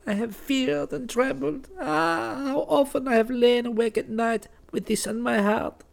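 A man's voice: very high voice, gravelly, voice pushed up, heavily acted. A man voicing an anguished, devoted young woman in a story, with a strained voice.

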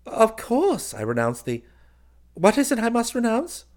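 Man acting a jovial high-voiced young soldier. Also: huge pitch swings, unhurried, a little mellow, heavily acted. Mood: soothing, humouring. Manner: hearty, old-fashioned genteel, placating. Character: jovial, kindly young soldier cousin, humouring and soothing.

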